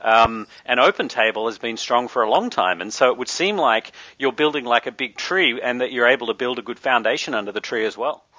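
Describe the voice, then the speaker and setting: Bright-voiced male interviewer. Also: mid-range voice, expressive, dry recording, highs cut off. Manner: friendly, quick conversational questions. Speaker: interviewer host. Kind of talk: business interview.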